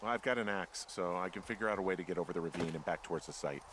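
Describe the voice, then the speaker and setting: A man's voice: deep voice, rapid-fire, background noise, lightly acted. An American man playing a wry, wary middle-aged fire lookout in a story video game, speaking in a casual, dry, hesitant way. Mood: tense, suspicious. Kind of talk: naturalistic game dialogue. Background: game music.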